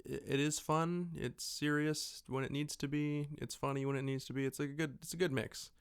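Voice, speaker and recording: A man's voice: a little high, steady tone, normal speed, smooth. A man hosting a podcast, chatting informally and casually about films and shows. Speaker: podcast host.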